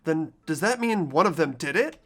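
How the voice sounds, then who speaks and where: A man narrates a game's story and the hero's thoughts with an animated, swinging voice. Light music hums under him. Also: fairly high pitch, normal speed. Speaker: narrator and player. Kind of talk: reading game narration.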